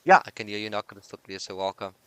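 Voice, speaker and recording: A man hosting a casual sports podcast, chatty and relaxed, thinking aloud as he moves through topics. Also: fairly low pitch, fast talker, clear, resonant tone, dry recording. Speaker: podcast host.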